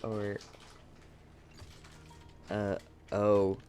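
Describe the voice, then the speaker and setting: A man's voice: fairly low pitch, monotone, drawn-out words, a little mellow, slight background noise. A man giving casual gameplay commentary, laid-back and musing aloud to himself. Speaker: game streamer. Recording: game music behind.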